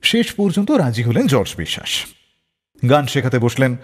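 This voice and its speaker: A man hosting a radio show, telling anecdotes in a dramatic, expressive scripted style. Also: medium pitch, normal speed, slightly rough. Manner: expressive, acts out quoted dialogue. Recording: long reverb on the voice.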